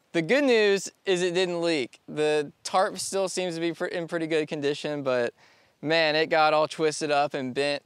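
Easygoing young man, crisp close voice. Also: on the high side, no room sound. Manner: easygoing, laughs often. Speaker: DIY vlogger. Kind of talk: casual DIY vlog talk. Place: outdoors on a rural property. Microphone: lapel or on-camera mic.

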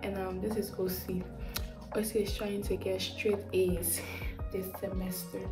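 A woman's voice: medium pitch, level tone, measured pace, music in the background. A young woman with a Liberian English accent, chatting upbeat to her phone camera in a college dorm. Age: young adult. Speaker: vlogger. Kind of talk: casual vlog chat. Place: college dorm room and study lounge. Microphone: phone camera at arm's length.

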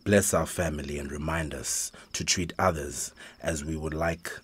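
Elderly, pious South African patriarch, very low voice, stern and withdrawn, for radio drama. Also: male voice, steady tone, normal speed, a bit bright, breathy edge, heavily acted. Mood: solemn. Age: older. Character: withdrawn, disapproving elderly father, a pious churchman.

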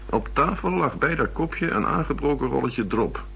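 An actor playing a businesslike middle-aged chief inspector in a Dutch radio drama, questioning in a matter-of-fact way. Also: male voice, mid-range voice, animated, normal speed, gravelly, a bit bright. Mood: inquisitive. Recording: noisy old radio broadcast recording.

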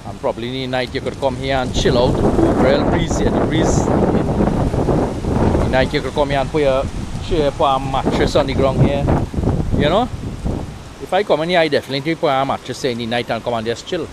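A relaxed Trinidadian man narrates a day out in a casual, chatty way. He's recorded on a small camera mic outdoors by the sea. Wind and surf sit clearly under his mid-pitched voice. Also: fairly quick. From Trinidad and Tobago. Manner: relaxed, chatty, Creole phrasing. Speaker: vlogger.

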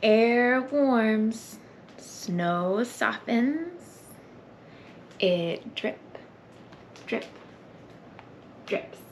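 A woman in her thirties hosting a children's story time, reading and singing expressively with hushed, breathy asides. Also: fairly high pitch, expressive, very slow, pauses often. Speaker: library story time host. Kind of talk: children's story time read-aloud and sing-along. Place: children's library room, indoors. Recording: noticeable background room noise.

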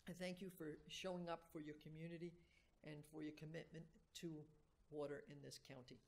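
Older woman, low gravelly voice, public remarks. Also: level tone, brisk, a little mellow. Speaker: board director giving welcome remarks. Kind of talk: semi-formal public remarks. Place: government board chamber, indoors. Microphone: gooseneck podium microphone. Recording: faint room noise on podium mic.

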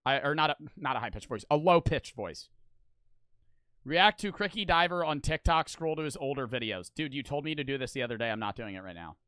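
A young man streaming casually, talking fast and animated with lots of swearing, close to the mic. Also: medium pitch, clean tone, dry recording.

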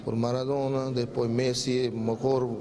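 A man with an Indian accent giving short, halting interview answers. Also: medium pitch, level tone. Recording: loud chatter behind on location.

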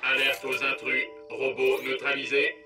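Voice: mid-range voice, completely flat delivery, measured pace, close to an everyday voice. An actor voicing an obedient, clunky robot henchman in a cartoon, with a servile, mechanical delivery. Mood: mechanical, dutiful. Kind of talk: animated cartoon voice acting. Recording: music behind the voice.

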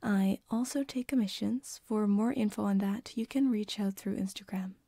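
A woman hosting an ASMR channel, giving a soft, half-whispered introduction in a breathy voice. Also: mid-range voice, moderate pace, dry recording, clean studio sound.

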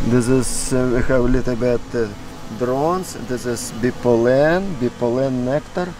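A middle-aged man from Russia with a Russian accent gives a casual hands-on demonstration in halting, simple English, often repeating words. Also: medium pitch. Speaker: beekeeper instructor. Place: outdoors in a wooded yard. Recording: clear steady outdoor background noise.

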